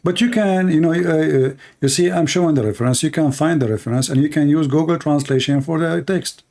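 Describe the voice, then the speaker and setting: A man's voice: a little high, a little fast, vocal fry, darkish tone. A man with an Arabic accent hosting a call-in show, questioning callers insistently and telling stories with animation. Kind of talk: call-in debate.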